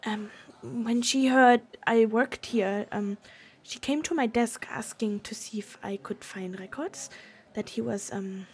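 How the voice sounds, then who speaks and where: Anxious German receptionist, audio drama, slow halting speech, high husky hushed voice, secretive. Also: female voice. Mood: nervous, secretive. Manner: halting, stammering, searching for words, hushed. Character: nervous young German hotel desk clerk woman, secretive, hesitant. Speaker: voice actor. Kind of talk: cinematic audio roleplay acting.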